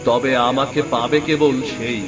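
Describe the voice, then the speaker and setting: A man voicing a calm magical talking swan in a children's cartoon, speaking in solemn, measured pronouncements. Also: mid-range voice, music in the background, lightly acted. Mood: solemn, reassuring. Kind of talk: children's moral-story cartoon acting.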